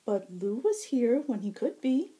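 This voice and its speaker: Woman voicing a high, silvery, playful fox-fae man. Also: big pitch swings, unhurried, dark tone, rough recording. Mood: cheerful, explaining. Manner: formal, flowery phrasing. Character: playful male fox-fae herald, teasing, courtly, cheerful.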